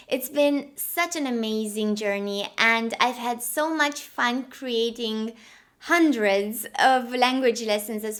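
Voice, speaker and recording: A woman's voice: on the high side, fairly bright tone. A woman in her thirties with a Romanian accent, a language teacher hosting her channel and narrating a cheerful, grateful look back at its history. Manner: cheerful and grateful, upbeat delivery. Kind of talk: anniversary video narration.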